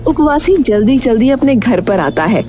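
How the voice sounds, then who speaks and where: Female storyteller, fairly high voice, scripted cartoon-tale narration with a clear music bed. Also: big pitch swings, normal speed, mellow.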